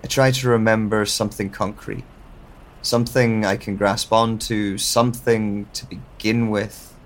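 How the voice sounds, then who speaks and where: An actor playing a confused man with no memory in an audio drama, narrating in the first person in a measured, pensive, halting way. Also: fairly low pitch, little pitch movement. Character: confused amnesiac man waking alone, searching for answers.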